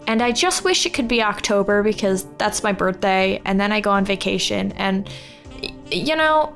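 A woman's voice: medium pitch, animated, moderate pace, creaky, a bit bright. A woman giving a chatty, informal personal monologue on a podcast. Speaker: podcast team member. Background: faint music.

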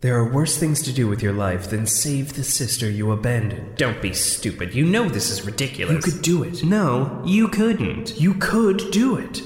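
A man's voice: mid-range voice, sing-song, normal speed, few pauses, darkish tone, husky. An actor playing a sardonic, anxious, conflicted man in a fiction podcast, with a wry, hesitant delivery.

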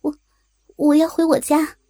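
A woman's voice: high-pitched, flat delivery, a little slow, warm tone, pitched above the performer's own voice. A woman playing a frightened, naive young rural wife with a Northeastern Chinese accent, hesitant and pleading, in an audio drama.

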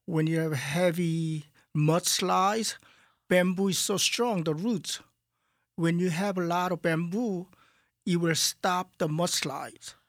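A man from Korea with a Korean accent, a guest artist in a radio studio interview, speaking calmly and haltingly as he searches for words. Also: high-pitched, no echo. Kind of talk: calm interview talk.

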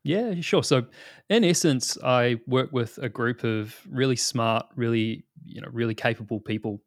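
A man's voice: mid-range voice, moderate pace, no echo. New Zealand man, dry close sound. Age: 30s. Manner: relaxed and rambling, with many fillers and restarts. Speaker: guest, product manager. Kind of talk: casual interview talk.

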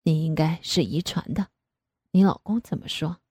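A woman doing a husky, coaxing father. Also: low voice, moderate pace, dark tone, dry recording, voice pushed down. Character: middle-aged father, indulgent and coaxing.

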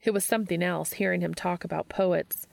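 A woman's voice: lowish voice, steady tone, fairly quick, creaky, no echo. A woman narrating a fiction audiobook, reading scripted prose.

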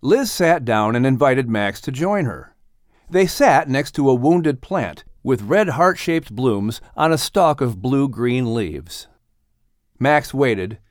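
A man's voice: medium pitch, no room sound, clean studio sound. A man reading scripted narration as an audiobook storyteller and announcer.

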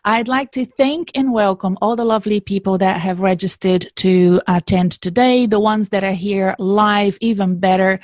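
A woman's voice: mid-range voice, fairly even intonation, normal speed, hardly any pauses, no room sound. Upbeat middle-aged woman, career podcast host, close dry mic, mid-range voice. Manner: upbeat, enthusiastic. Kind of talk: live podcast introduction. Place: live event in Melbourne.

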